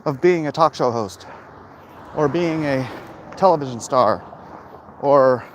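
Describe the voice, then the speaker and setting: A middle-aged man in an unhurried, halting walk-and-talk, speaking at a medium pitch. He is recorded outdoors, with faint ambient and vehicle noise behind him. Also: expressive. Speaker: comic book creator vlogging. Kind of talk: casual walk-and-talk monologue. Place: outdoors, walking along a hilly route.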